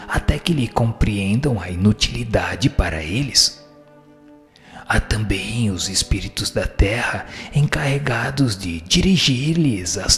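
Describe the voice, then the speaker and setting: Lowish-voiced man reading formally over music. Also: fairly bright tone. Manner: formal, didactic. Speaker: reader of the answers. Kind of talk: scripted reading of a spiritist text.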